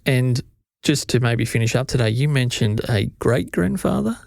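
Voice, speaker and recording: A man's voice: mid-range voice, animated, vocal fry, no room sound, studio quality. A man co-hosting a podcast, easygoing and affirming, wrapping up in casual chat. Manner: easygoing, affirming, quick to laugh. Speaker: podcast co-host.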